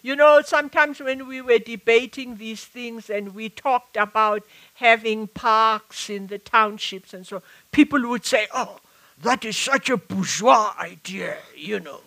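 An older South African woman talks on stage through a headset mic, taking her time and circling back to restart her thoughts. Her voice sits a little high and sounds bright and clear, and she moves along slowly. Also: low-quality recording. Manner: reflective, halting with many restarts. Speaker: author and activist. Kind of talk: public conversation on stage. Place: stage at a live event.

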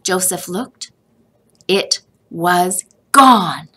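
A middle-aged woman reading a children's picture book aloud as a teacher, expressive and deliberate, with sing-song repeated phrases. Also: on the low side, husky.

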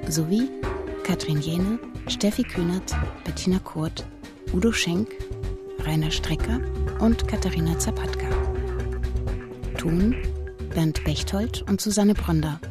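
A woman announces radio play credits in a scripted read. Her pace is slow, her voice slightly low, and music plays clearly behind her.

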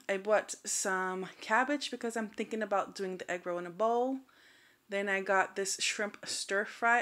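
A woman's voice: mid-range voice, bright, forceful tone. A woman in her thirties talking casually and straightforwardly as a vlogger, recorded indoors at home. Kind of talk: casual grocery haul vlog.

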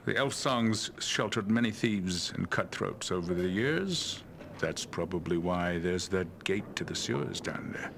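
A man voicing a gruff but friendly, slightly shady townsman in a fantasy video game, with a gravelly voice. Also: low voice, animated, measured pace, heavily acted. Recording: noisy tavern ambience behind.

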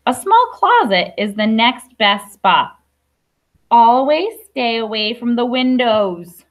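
A woman's voice: fairly high pitch, big pitch swings, takes it slowly, muffled recording. A young adult woman teacher reading a picture book aloud to young children, explaining simply with questions and asides. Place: classroom.

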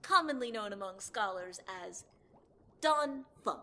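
A woman's voice: mid-range voice, expressive, measured pace, bright, forceful tone, a little hiss or hum. A woman voicing a pompous, grandly self-assured boy scholar in an audio drama, theatrical and formal. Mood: lecturing.

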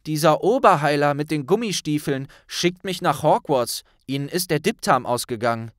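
A man's voice: on the high side, expressive, normal speed, dry recording, clean studio sound, voice pushed up, natural voice. Guy acting as a young wizard pal, blunt and loyal, talks casual, voice goes up and down a lot, audiobook. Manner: colloquial, blunt. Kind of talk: audiobook character voice.

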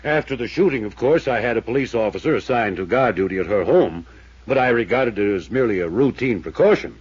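A man's voice: medium pitch, lively intonation, fast talker, breathy edge. An actor playing an evasive male small-town mayor who speaks in a formal, placating, officious way in a 1950s radio drama. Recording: dull old radio transcription.

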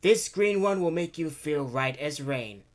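A man voicing a calm, purring female cat conductress in a storybook reading. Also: a little high, unhurried, pitched above the performer's own voice. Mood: soothing.

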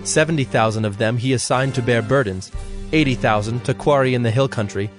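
A man narrating scripture from a script in a measured, formal way. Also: medium pitch, faint music behind.

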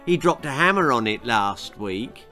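A man's voice: medium pitch, lively intonation, a little slow, soft background music. An older man voicing a mean young girl who taunts and goads with smug spite in a children's storybook reading. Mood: sly goading. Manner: smug, goading, loud.

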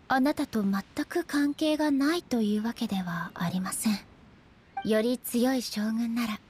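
High-voiced, gentle warrior goddess. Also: female voice, slight background noise. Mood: polite, thoughtful. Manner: soft, polite, sometimes breathy. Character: gentle, polite, earnest female warrior deity. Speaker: video game voice actress. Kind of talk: Japanese game character voice acting.

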